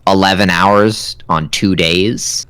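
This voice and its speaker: A man's voice: low voice, steady tone, slow, fairly bright tone, clear, resonant tone, dry recording. A man co-hosting a podcast in casual, conversational live chat. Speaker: podcast co-host.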